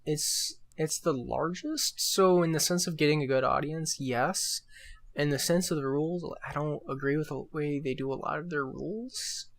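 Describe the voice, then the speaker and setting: A man's voice: on the high side, normal speed, gravelly. A young man co-hosting a podcast in casual chat, given to joking, sarcastic asides. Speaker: podcast co-host.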